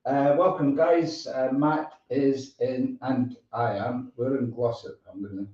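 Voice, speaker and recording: Mellow man hosting from an echoey room. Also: medium pitch, steady tone, measured pace. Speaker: show host. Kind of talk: casual live interview chat. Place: indoors at a musician's home. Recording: roomy, distant mic, dull sound.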